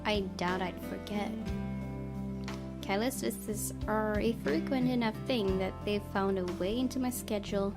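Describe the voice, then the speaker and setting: A woman narrates a visual novel as a let's play host. Her mid-pitched voice tells the story in an expressive, theatrical way. Background music is clearly audible. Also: moderate pace.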